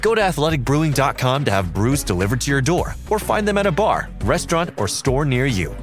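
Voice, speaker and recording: A man's voice: medium pitch, big pitch swings, moderate pace, faint music behind. An American man reading a scripted commercial as a voice-over. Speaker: advertising voice-over.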